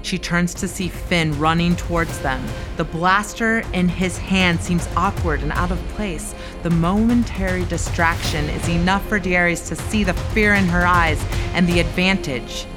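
A woman's voice: lowish voice, normal speed, few pauses. A woman narrating a scripted science-fiction audio drama in a dramatic storytelling style. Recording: music under the narration. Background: dramatic music.

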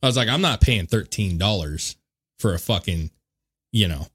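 Animated low-voiced male podcast host. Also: moderate pace, husky. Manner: laid-back, swearing, chuckling asides. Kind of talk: casual podcast banter.

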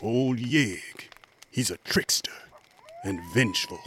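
Ominous, animated frontier yarn-spinner, rural American. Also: male voice, medium pitch, slow pace, slightly rough, exaggerated voice. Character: spooky-tale-telling frontier local man, Joe. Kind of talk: radio drama acting.

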